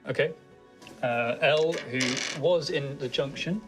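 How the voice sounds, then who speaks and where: A man's voice: fairly high pitch, slow, music in the background. A man chatting casually as a player in a tabletop roleplaying game, talking through his moves.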